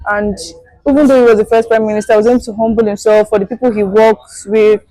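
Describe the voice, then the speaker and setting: A woman's voice: medium pitch, little pitch movement, quick pace, warm tone, no echo, poor audio. A woman speaking earnestly and reflectively in an unscripted interview. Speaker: interviewee, audience member.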